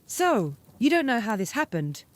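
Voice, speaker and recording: A woman's voice: fairly high pitch, sing-song, moderate pace, no room sound. A woman playing a frank, feisty young witch in an audio drama, plain-spoken and insistent. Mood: fair-minded. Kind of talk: fan audio drama acting.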